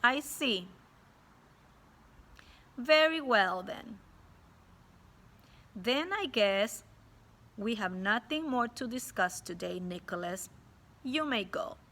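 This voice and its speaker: Stern storybook teacher, put on by a woman reader, scolding, forceful, with emphatic swings in pitch. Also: lively intonation, heavily acted. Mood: cool, knowing. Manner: firm, deliberate, scolding. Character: stern woman schoolteacher, firm and coolly in charge. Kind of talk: storybook read-aloud character.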